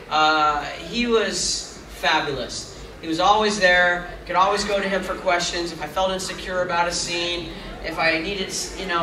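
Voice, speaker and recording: A middle-aged man answering fans' questions at a convention panel, chatty and rambling, with self-mocking asides. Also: high voice. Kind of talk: casual Q&A with fans. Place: large convention hall. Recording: echoey hall taped from far off, noisy.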